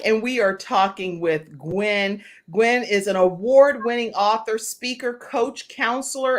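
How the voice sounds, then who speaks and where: Energetic woman talk show host. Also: medium pitch. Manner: energetic, chatty, emphatic. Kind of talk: upbeat talk show hosting. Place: virtual studio.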